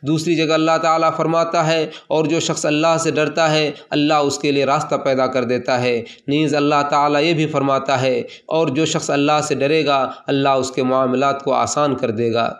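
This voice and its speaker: A man reading a translated Friday sermon aloud in a formal, steady recitation, addressing the listeners as servants of God. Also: on the high side, normal speed, hardly any pauses.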